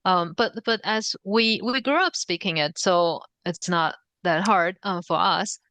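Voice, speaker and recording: A woman with a Chinese accent speaks in a lowish, smooth and resonant voice. She is an author being interviewed, thinking aloud with frequent pauses and soft fillers. The sound is dry and close. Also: dry recording. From Shanghai, China. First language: Shanghainese. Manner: thoughtful, many ums and pauses. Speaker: author guest. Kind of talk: conversational interview.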